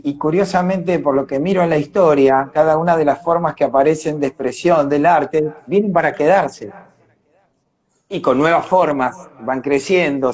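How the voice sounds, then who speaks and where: A man's voice: on the high side, darkish tone. A man with an Argentine accent, a guest in a casual live online chat, talking reflectively and searching for his words. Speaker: interview guest, radio drama actor. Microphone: online video call.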